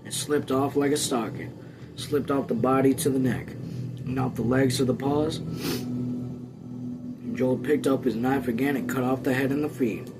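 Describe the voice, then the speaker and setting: A man's voice: mid-range voice, steady tone, moderate pace, long pauses, low-quality recording. A man hosting a read-along, narrating a novel aloud with casual asides. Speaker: host reading a novel aloud. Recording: music behind.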